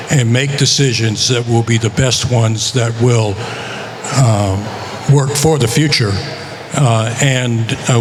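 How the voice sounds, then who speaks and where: A man from the United States speaks at a conference session while he is losing his voice. He talks in a measured way, with frequent pauses and filler words, at an ordinary pitch. A clear hum of room noise sits under him. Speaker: author. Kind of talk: conference talk.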